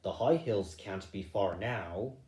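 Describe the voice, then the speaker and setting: Steady, hopeful rabbit leader male reader, gentle and unhurried, storybook tale in an echoey room. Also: fairly low pitch, voice pushed down. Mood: calm, encouraging.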